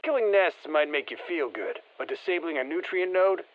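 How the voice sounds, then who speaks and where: Folksy soldier over muffled radio. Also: male voice, on the high side, expressive, normal speed. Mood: steady, matter-of-fact. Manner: colloquial, clipped tactical commands. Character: gruff, folksy male soldier, confident squad operative. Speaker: video game character voice actor. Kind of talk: scripted game voicelines, mission briefing.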